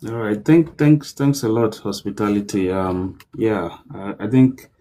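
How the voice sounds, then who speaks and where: A man's voice: on the low side, lively intonation, a little slow, dark tone, slight background noise. A man hosting a livestream panel, talking casually and loosely with many fillers. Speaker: livestream host.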